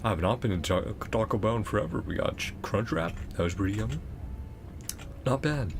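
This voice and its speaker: A man talking as a streamer in relaxed, chatty asides. His voice has a creaky, gravelly edge and moves freely in pitch, with some noise behind it. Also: brisk. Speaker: streamer reading game dialogue aloud. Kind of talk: casual stream chat.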